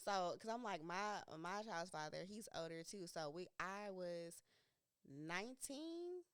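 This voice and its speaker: A woman's voice: on the low side, animated, a little slow, a bit bright, clear, resonant tone, dry recording, clean studio sound. A woman in her thirties hosting a casual podcast chat, laughing, teasing and talking in loose slang. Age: 30s. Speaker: podcast host.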